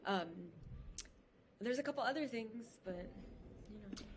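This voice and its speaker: A woman guest on a podcast video call, talking in a lively, casual way with lots of fillers. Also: on the high side, background noise. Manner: animated, fast, lots of "like". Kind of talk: casual conversation. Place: indoor room at home. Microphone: headphones on a video call.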